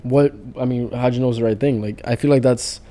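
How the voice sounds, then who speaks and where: Male podcast host, very dark mellow voice, curious short questions. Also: mid-range voice, steady tone, quick pace. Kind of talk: casual interview chat.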